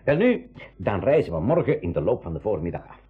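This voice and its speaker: Husky, animated professor uncle. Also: male voice, fairly low pitch, fairly quick, heavily acted. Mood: genial, inviting. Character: Uncle Januari, genial decisive older professor leading the journey. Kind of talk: 1980s school radio play acting. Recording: dull lo-fi radio recording.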